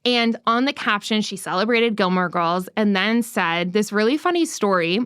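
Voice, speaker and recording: A woman's voice: mid-range voice, expressive, moderate pace, fairly bright tone, clean tone, no room sound, studio quality. A young woman co-hosting a podcast, chatting casually and steering the conversation with lots of "like" fillers. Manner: chatty, frequent "like" fillers, leads the questions. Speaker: podcast co-host.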